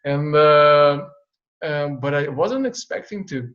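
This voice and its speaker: A man's voice: fairly high pitch, takes it slowly, fairly bright tone. A young male pastor from Brazil, a native Portuguese speaker, chatting in an easygoing, modest way and laughing often. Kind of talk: casual interview chat. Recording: dull lo-fi sound.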